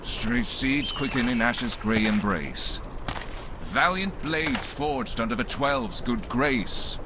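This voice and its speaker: A man's voice: mid-range voice, lively intonation, takes it slowly, background noise. A man acting a solemn sage of prophecy in a game fan dub, grave and declamatory in an archaic manner. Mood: grave, prophetic.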